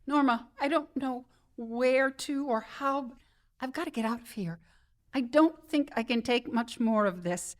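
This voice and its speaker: A woman's voice: high-pitched, unhurried. An actress playing a weary, wry New York woman in a comedic radio drama, politely sardonic in manner. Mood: fed up.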